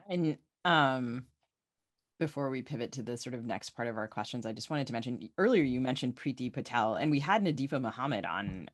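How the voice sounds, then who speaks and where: A woman hosting a podcast interview, talking informally and circling her questions with asides. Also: rumbling low. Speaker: podcast host. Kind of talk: casual interview talk.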